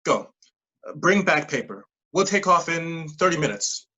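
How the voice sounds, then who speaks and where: A persuasive scheme recruiter played by an actor in a staged play reading. The voice sits at a middling pitch with a slightly husky edge, pressing insistently in clipped phrases while dodging plain words. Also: animated, a little slow, pushed and bright, muffled recording, heavily acted. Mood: urgent, evasive. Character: smooth-talking, pushy pyramid-scheme recruiter mentoring a junior coworker.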